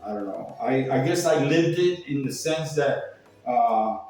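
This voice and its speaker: A man's voice: medium pitch, animated, a little slow, darkish tone. An older man from Cuba tells personal stories in a casual, rambling, salty way, acting out what people said. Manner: rambling, salty, acts out quoted remarks. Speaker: speaker telling personal stories. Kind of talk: casual anecdotal talk. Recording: echoey room, distant mic, faint music.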